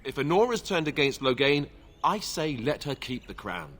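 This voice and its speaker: Wry young reluctant heir. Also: male voice, on the high side, big pitch swings, normal speed, a bit bright. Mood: exasperated, wry. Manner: wry, informal. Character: reluctant young royal heir, self-deprecating, wry warrior. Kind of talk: fantasy video game acting.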